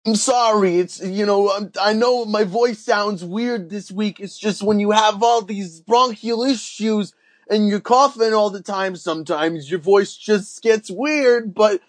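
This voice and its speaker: A man acting as a naive Canadian oddball on a comedy podcast. His voice sits high and he takes his time, rambling in a folksy, earnest way with a put-on Canadian accent. The sound is very dry. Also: unhurried, no room sound. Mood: apologetic. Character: dim, earnest Canadian man with absurd opinions. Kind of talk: comedy podcast character banter.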